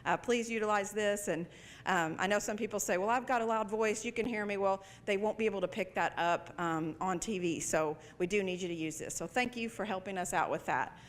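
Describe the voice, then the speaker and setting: A woman's voice: mid-range voice, fairly quick. A woman, a library director, giving a welcome speech at a live campus event, friendly and speaking with many fillers. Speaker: library director introducing a panel.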